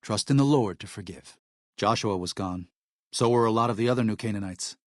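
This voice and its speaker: A male actor voices a weary missionary man leading people in a post-apocalyptic game world. His voice is on the low side, recorded very dry. He speaks earnestly and plainly, in measured phrases. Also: dry recording, close to an everyday voice. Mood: subdued, reflective, then somber. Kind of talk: video game character dialogue.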